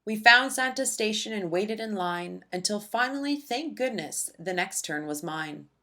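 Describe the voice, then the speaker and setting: A woman narrating a rhyming children's storybook in an expressive, deliberate storytelling manner for young listeners. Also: mid-range voice. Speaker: children's book read-aloud narrator.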